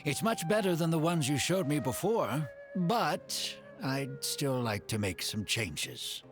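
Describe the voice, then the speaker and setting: A fussy, hard-to-please client from a game talks politely and hesitantly. The pitch moves a lot, with faint music underneath. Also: big pitch swings, slow pace. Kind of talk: game voice acting.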